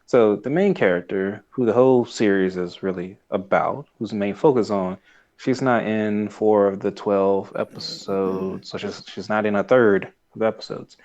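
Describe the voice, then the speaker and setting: Lowish male podcaster, dull lo-fi sound. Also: moderate pace, a little mellow. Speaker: podcast host. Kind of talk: casual podcast review chat.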